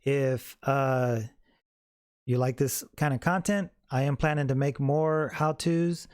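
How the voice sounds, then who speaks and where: Casual man with a mellow, dry sound. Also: mid-range voice, takes it slowly, dry recording. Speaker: host of a how-to tutorial. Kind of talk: casual, unscripted software tutorial.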